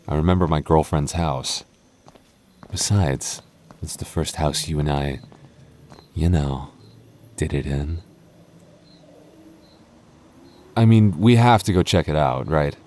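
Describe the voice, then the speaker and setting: A man's voice: sits low, darkish tone. A man playing a stammering goth boyfriend. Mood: reassuring. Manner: stammering, repeating words. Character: nervous young goth boyfriend, tender and protective. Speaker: voice actor. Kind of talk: ASMR boyfriend roleplay.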